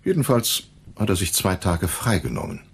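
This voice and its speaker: Smooth shady dealer in a radio crime play, low husky voice, really swoopy delivery. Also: male voice, huge pitch swings, background noise, full character voice. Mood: cool, evasive. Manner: suave, deliberate, evasive. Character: smooth, self-assured shady violin dealer, adult man. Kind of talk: German radio crime drama acting.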